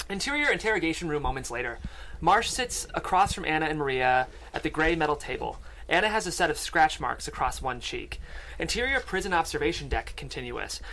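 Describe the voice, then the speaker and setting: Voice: mid-range voice, a bit bright, slight background noise, rough recording. A narrator reading screenplay stage directions aloud at a table read. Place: podcast studio.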